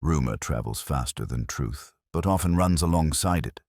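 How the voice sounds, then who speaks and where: A man performs a thoughtful police inspector from an audio mystery. He is very deep and formal, with plenty of rise and fall as he delivers dry, mild understatement. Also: lively intonation, darkish tone, slightly rough, no echo, full character voice. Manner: measured, formal, dryly understated.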